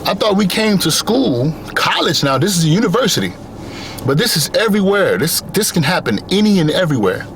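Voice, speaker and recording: A man's voice: sits high, sing-song, brisk, a bit bright, a little hiss or hum. A young man giving an earnest motivational monologue, driving his points home with repeated phrases. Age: young adult. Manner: earnest, repetitive emphatic phrasing. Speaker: vlogger.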